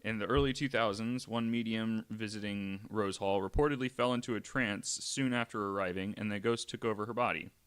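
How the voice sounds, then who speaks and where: A man's voice: lowish voice, fairly even intonation, fairly quick, few pauses, a bit bright, clear, resonant tone. A man co-hosting a podcast, talking informally and wryly between casual chat and reading out stories. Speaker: podcast co-host.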